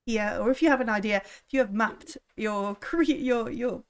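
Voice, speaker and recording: A woman's voice: on the high side, normal speed, clean tone. A woman chatting casually as game master of a tabletop roleplaying game.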